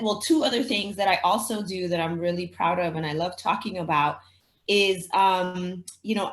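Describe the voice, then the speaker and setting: A woman from the Dominican Republic, a native Spanish speaker, explains things conversationally as an educator being interviewed, thinking aloud and posing rhetorical questions. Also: medium pitch. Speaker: teacher and anti-racism educator, interviewee. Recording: roomy, echoing sound.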